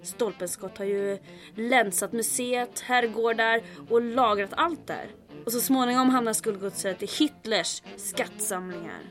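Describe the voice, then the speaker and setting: A woman's voice: on the high side, fairly bright tone, faint music behind, natural voice. An actress playing a commanding, dryly witty woman captain of a resistance group in old-time radio drama style. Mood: dry, resolute. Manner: brisk, commanding, dryly teasing. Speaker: lead character, captain.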